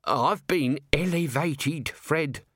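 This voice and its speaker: Man voicing a shabby male corporal, raised pitch, glum, plaintive, self-pitying, audiobook character. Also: lively intonation, measured pace, fairly bright tone, no echo, clean studio sound. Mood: glum, moaning.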